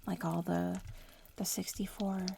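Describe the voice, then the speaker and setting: A woman playing a young bratty witch in training in an ASMR roleplay, murmuring casually and without hurry, slipping between soft speech and whispers. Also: fairly low pitch, level tone, a little slow, creaky, noisy background.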